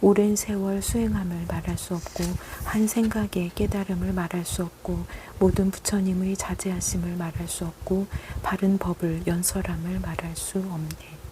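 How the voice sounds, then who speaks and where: A woman reciting Buddhist scripture solemnly, in a measured, repetitive cadence. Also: fairly low pitch, level tone, background noise.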